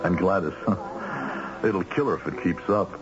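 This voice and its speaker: An actor playing an anxious, self-doubting executive short of money, with hesitant, stammering delivery in the style of 1970s radio drama. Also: male voice, deep voice, a little slow. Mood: troubled, despairing. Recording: dull old radio drama recording, music behind.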